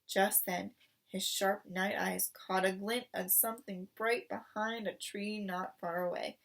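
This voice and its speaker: A woman reading a children's story aloud in expressive narration, recorded at some distance from the microphone. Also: medium pitch, measured pace, gravelly. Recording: voice distant from the mic.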